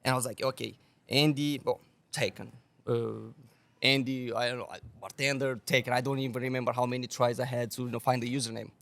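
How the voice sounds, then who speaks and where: A man's voice: mid-range voice, fairly quick, vocal fry. A young man from Romania, a guest bartender, telling stories on a podcast in casual, swearing, laughing speech. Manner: animated, swears a lot, laughs often.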